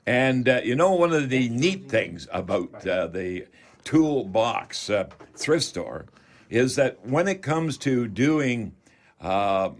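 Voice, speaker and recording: A man's voice: mid-range voice, sing-song, slow. An older man with a Canadian accent hosts a home improvement radio call-in show in a chatty, folksy way, talking straight to his listeners.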